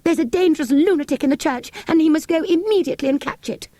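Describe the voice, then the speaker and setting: A woman's voice: high voice, fast, mellow, no room sound, voice pushed up, close to an everyday voice. A woman voicing a genteel, anxious and insistent vicar's wife in an audiobook, fretful and urgent.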